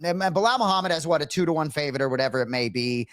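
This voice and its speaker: A man talks sports in a casual, rambling way, and his slight head cold leaves him a little nasal. His pitch sits a touch high and swings widely as he keeps checking in with his co-host. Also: rapid-fire. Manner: rambling, animated, peppered with "right?". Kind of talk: casual sports radio chat.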